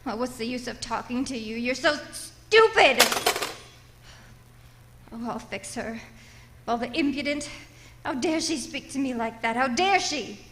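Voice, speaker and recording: Radio thriller casting: neurotic, imperious invalid wife, shrill and bright, complaining and overwrought. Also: female voice, lively intonation, a little slow, pauses often, slight background noise. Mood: indignant, scornful. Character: nervous, demanding, self-pitying bedridden invalid wife, increasingly hysterical. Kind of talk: radio thriller play acting.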